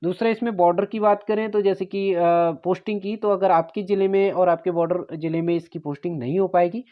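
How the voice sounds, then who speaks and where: Low-voiced woman explaining, dull recording. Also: steady tone, a little fast. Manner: instructive, explaining step by step. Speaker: presenter of exam and job information videos. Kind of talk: informal explainer narration.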